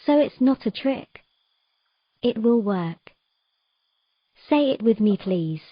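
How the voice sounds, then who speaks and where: A woman's voice: on the high side, lively intonation, slow pace, no room sound, highs cut off. A woman playing a determined, defiant young mermaid with an insistent, urgent delivery in a dramatic audio story. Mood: eager. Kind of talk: dramatic audio fiction acting.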